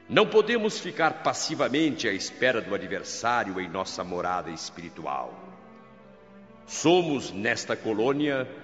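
A man playing the solemn governor of a spirit colony, speaking in a grave, measured, emphatic way in a radio drama, over soft music. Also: mid-range voice. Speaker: governor preaching to workers. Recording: dull radio-drama recording with long reverb.

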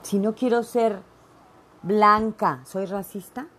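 A woman's voice: mid-range voice, slow pace, fairly bright tone. A middle-aged woman from Veracruz, hosting her own show and giving blunt, emphatic opinions in a solo monologue full of fillers. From Mexico (Veracruz). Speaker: podcast host.

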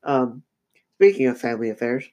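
Male podcast co-host with a cold, slightly nasal; reflective, halting, full of ums and restarts. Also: mid-range voice, lively intonation, a little mellow, lo-fi sound. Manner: halting, many fillers and restarts; reflective, thinking aloud. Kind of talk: casual podcast discussion.

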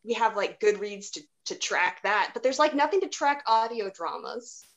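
A woman's voice: mid-range voice, lively intonation, normal speed. Rambling, casual woman in conversation. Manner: rambling, frequent "like". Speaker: podcast panelist. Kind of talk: casual chat.

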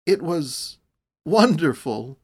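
A man voicing a bitter, easily provoked young man in a storybook, forceful and emphatic. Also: a little high, big pitch swings, measured pace, pitched above the performer's own voice. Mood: admiring, excited.

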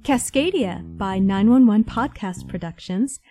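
A woman's voice: medium pitch, animated, a little slow, dark tone. A woman reading a scripted review in an articulate, enthusiastic manner. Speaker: listener reviewing favourite audio dramas. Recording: guitar music behind. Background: faint plucked guitar music.

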